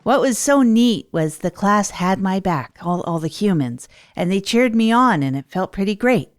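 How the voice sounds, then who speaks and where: A woman acting as an earnest, down-on-their-luck local radio host in a comedy audio drama. The delivery is deliberate and self-deprecating, with very animated pitch that wanders through rambling thoughts, over a light music bed. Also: natural voice. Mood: hopeful, rambling. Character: Tog Chesterfield, earnest, hapless radio host rebuilding their life. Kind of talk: improvised comedy audio drama monologue, late-night radio parody.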